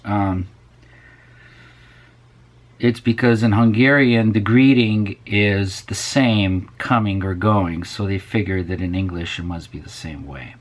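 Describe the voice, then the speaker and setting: A man's voice: lowish voice, steady tone, slow pace. A man hosting a casual vlog, rambling and musing to viewers, often dropping to a near whisper. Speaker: vlog host.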